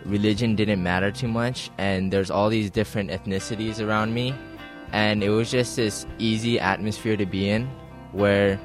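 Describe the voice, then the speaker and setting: Deep-voiced reflective teen boy. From Hawaii. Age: teens. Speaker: camper.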